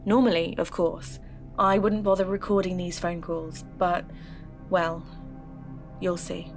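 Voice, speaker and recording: Actress as earnest woman podcaster telling her mystery story, confiding n measured, music underneath. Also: lowish voice, level tone. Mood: confiding, wounded. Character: earnest, determined young woman podcaster investigating folklore mysteries. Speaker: podcast host and narrator. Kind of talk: scripted first-person audio drama narration.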